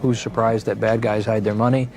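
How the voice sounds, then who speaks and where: Low-voiced reporter, emphatic. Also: male voice, flat delivery, a little fast, over music. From grew up in Ecuador. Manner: conversational, emphatic with rhetorical questions. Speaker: former foreign bureau chief, reporter. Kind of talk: documentary interview.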